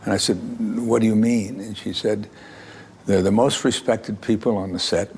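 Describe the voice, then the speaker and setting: A man's voice: lowish voice, fairly even intonation, normal speed, a little mellow, a little hiss or hum. A male cinematographer in an interview, telling a story about his start and quoting his relatives. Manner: anecdotal, quotes relatives.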